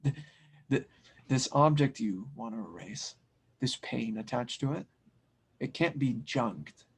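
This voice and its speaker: A man playing a wise, serene blacksmith mystic in an audio drama, speaking softly in slow, cryptic phrases. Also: medium pitch, animated, gravelly, slightly rough, heavily acted. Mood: calm, knowing. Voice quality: soft, hushed. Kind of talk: fan audio drama table read.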